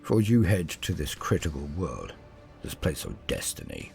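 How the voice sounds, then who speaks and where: A man's voice: a bass voice, huge pitch swings, moderate pace, gravelly, darkish tone, soft background music, heavily acted. A man voicing a grizzled giant warrior commander in a sci-fi audio story, solemn, portentous and commanding. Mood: grave, commanding. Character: grizzled giant Space Marine chapter lord, grave and commanding. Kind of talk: audiobook character acting.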